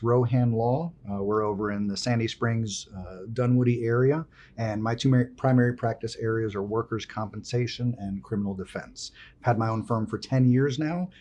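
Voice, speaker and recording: A man from Miami whose first language is Spanish, a guest attorney answering earnestly in a casual interview. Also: medium pitch. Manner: earnest, steady, a few fillers.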